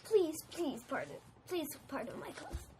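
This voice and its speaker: Very high-pitched indignant little forest creature. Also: male voice, very animated, a little slow, a little mellow, slight background noise, full character voice. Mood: aggrieved. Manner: pleading, preachy, protesting.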